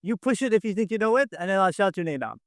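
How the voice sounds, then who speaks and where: A man's voice: high-pitched, big pitch swings, fast, dry recording, studio quality. A man casually hosting a quiz game on a video call, encouraging and often repeating himself. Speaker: quiz show host.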